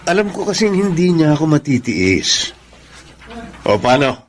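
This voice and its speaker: A man's voice: fairly high pitch, very animated, normal speed, a little hiss or hum. An actor playing a smug, scheming male seducer in a radio drama. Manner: smug, code-switching into English.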